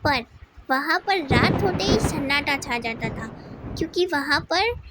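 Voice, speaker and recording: A woman's voice: sits high, animated, unhurried, heavy background noise. A woman narrating a scripted cartoon story for children as its storyteller.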